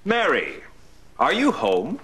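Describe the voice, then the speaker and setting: An actor playing an earnest fatherly reverend in a 1930s radio serial, speaking in a measured, theatrical way. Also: on the high side, huge pitch swings, noisy background, heavily acted.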